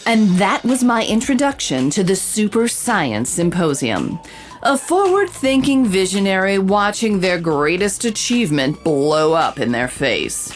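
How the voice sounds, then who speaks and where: An actress playing a confident investigative reporter hosting a true-crime podcast, with theatrical, knowing scripted delivery. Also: female voice, mid-range voice, big pitch swings, measured pace, faint music behind. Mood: dramatic, wry. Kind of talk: scripted dramatic podcast narration and interviewing.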